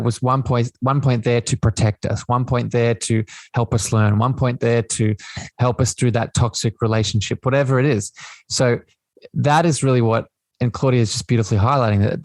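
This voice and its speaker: A man talks as the host of an interview podcast, with a medium-pitched voice and very little room sound. He is earnest and affirming, explaining at length and repeating phrases to stress them. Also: no room sound.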